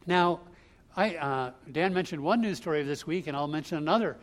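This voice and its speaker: A man's voice: fairly high pitch, moderate pace. A man preaching an expository sermon, explaining scripture line by line in a conversational way. He grew up in the US Northeast.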